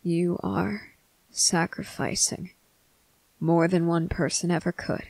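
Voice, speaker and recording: A performer voicing a stern but tender male vampire captain in an intimate ASMR roleplay, speaking deliberately in clipped phrases with pointed pauses. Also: medium pitch, little pitch movement, creaky, darkish tone, dry recording. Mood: stern, reassuring. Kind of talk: ASMR roleplay addressed to the listener.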